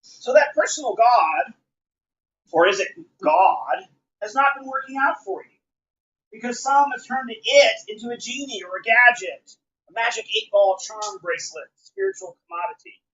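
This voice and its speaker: A man's voice: very high voice, very animated, moderate pace, pushed and bright. Dull-sounding recording of a declamatory male poet. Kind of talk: live spoken-word poetry reading. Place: live reading at a bookshop.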